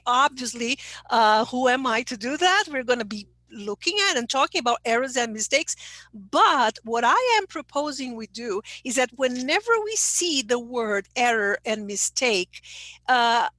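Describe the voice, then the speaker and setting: High-voiced woman teacher trainer. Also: fairly bright tone, no room sound. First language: Portuguese. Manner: explanatory, checks in with okay. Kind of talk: online presentation.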